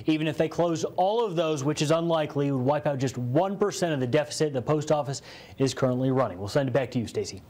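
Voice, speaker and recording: An American man reports live from a news studio. His voice runs slightly higher than the average man's. He speaks as a broadcast reporter. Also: lively intonation, fast talker, a little mellow.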